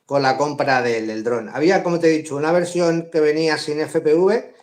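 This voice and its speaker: A man's voice: a little high, fairly quick, darkish tone. A middle-aged man from Madrid with a Spanish accent, chatting casually as a guest on a live video call and laughing often. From Madrid, Spain. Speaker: guest drone hobbyist. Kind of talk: casual live-stream interview.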